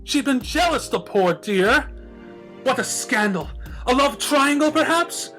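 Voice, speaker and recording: A man's voice: very high-pitched, animated, measured pace, sharp tone, faint music behind, pitched above the performer's own voice, full character voice. A man voicing a melodramatic, romantic, refined female unicorn in a theatrical, swooning manner. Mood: scandalized delight.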